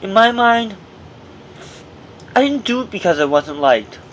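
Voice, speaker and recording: A man's voice: sits high, expressive, measured pace, a bit bright, muffled recording, slight background noise. A man reading his own memoir aloud as narration, in a personal first-person delivery with dramatic emphasis, on an amateur recording.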